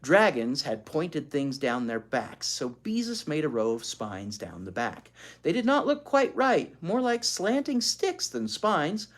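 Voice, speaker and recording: An expressive storyteller reading a children's book aloud, pausing to explain things to young listeners. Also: medium pitch. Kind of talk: animated read-aloud with asides to child listeners.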